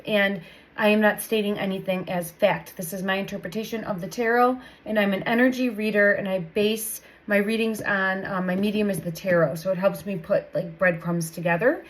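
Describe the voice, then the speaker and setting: A woman's voice: medium pitch, poor audio. A woman hosting a casual tarot reading, chatty and meandering, repeating her phrases, and still recovering from a cold. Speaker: tarot reader host.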